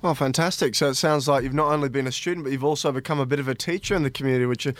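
A man's voice: mid-range voice, very fast. A man hosting a studio interview in a courteous, appreciative way. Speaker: radio interview host.

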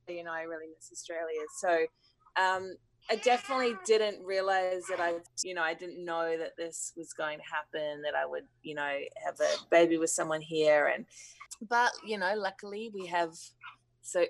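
A woman's voice: fairly low pitch, fairly even intonation, normal speed, poor audio. A woman in her thirties from New Zealand, a musician answering interview questions in a thoughtful, hesitant way with many fillers.

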